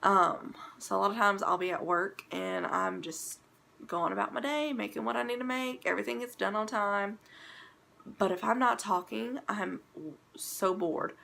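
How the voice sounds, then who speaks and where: A young woman talks straight to camera from a bedroom at home, her voice stuffy and congested. The tone is bright with a gravelly fry underneath. She rambles in a chatty, casual way, filling gaps with ums. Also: mid-range voice. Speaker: vlogger. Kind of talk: casual talking-to-camera vlog.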